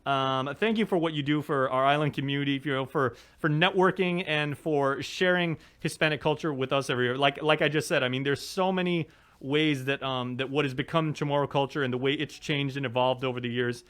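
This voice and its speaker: A man's voice: mid-range voice, fairly quick, fairly bright tone, smooth. A man from Guam hosting a live news interview, upbeat and joking, with many fillers and restarts. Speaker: news show host.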